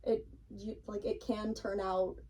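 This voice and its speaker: A woman's voice: medium pitch, little pitch movement, unhurried, dark tone, distant mic. A woman chatting casually as a podcast guest, in an informal, hedging way full of filler "like"s. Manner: informal, hedging speech peppered with "like". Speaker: podcast guest, hobby baker and cake decorator. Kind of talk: casual interview chat.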